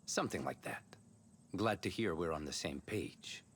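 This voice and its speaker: An actor playing a calm, cunning, laid-back mercenary commander in a video game. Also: male voice, deep voice, moderate pace, a little hiss or hum. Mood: measured, confiding. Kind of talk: video game cutscene acting.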